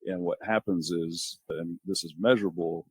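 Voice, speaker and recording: A man co-hosting a nursing podcast, a registered nurse who talks in a casual, halting way and restarts his sentences as he explains. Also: low voice, steady tone, slightly rough, dry recording.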